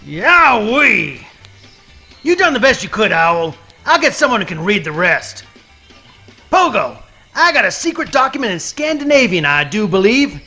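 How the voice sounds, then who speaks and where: Cartoonish gator sheriff, dialect, hot-tempered, forceful tone, very animated, comic radio play. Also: male voice, high voice, a little slow, faint music behind, exaggerated voice. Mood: bossy, excited. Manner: blustering, folksy, exclamatory. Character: blustery, hot-tempered male alligator, self-appointed country sheriff. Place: live reading at a hotel venue.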